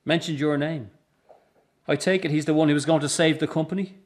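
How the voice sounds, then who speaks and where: An actor playing a middle-aged circus boss in a radio drama, defensive, bitter and short-tempered. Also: male voice, on the high side, fairly even intonation, a little fast, close to an everyday voice. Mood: angry, accusing. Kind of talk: naturalistic radio drama acting.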